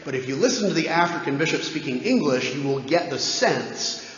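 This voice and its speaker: A man's voice: fairly high pitch, expressive, brisk, a bit bright. A man, a parish priest, answering questions in casual talk, often checking in with his listeners. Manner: conversational, keeps checking in with "right?". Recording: echoey dull church hall recording.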